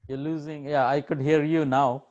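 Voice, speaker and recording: A man's voice: fairly high pitch, little pitch movement, moderate pace, darkish tone. A male university lecturer chatting casually with his students on a video call, easygoing, chuckling and repeating "yeah". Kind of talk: casual chat with students before an online lecture. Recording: muffled video call audio.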